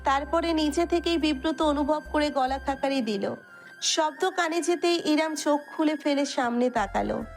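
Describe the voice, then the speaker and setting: A woman narrates a romantic audio story in a high-pitched voice. She reads from a script, and music plays underneath her. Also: normal speed. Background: background music.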